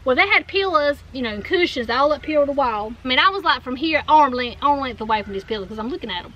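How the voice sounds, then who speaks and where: Southern woman in her forties, bright and high, lively storyteller who keeps saying y'all; outdoor camera-mic sound. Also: fast, lo-fi sound, slight background noise. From North Carolina. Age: 40s. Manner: animated storyteller, addresses viewers as y'all. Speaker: co-host. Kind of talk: casual storytelling chat. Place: outdoors, seated in a shaded golf cart. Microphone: fixed camera in front.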